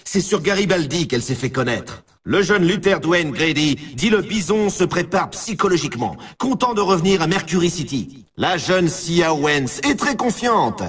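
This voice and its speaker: An excitable snowboard announcer from a video game, swooping widely through scripted race commentary. His voice has a rough, husky grain and sits slightly back from the microphone. He stretches words for showman-like drama. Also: on the high side, normal speed, few pauses, recorded from a distance, full character voice. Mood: upbeat, hyped.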